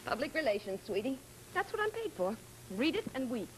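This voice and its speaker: Brassy, lively wisecracking dame. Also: female voice, sits high, a little fast, slight background noise, low-quality recording. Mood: sassy, brisk. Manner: snappy, slangy, hard-boiled. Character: brassy, wisecracking woman press agent, tough and sassy. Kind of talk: 1940s radio drama acting. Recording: vintage radio recording, music behind.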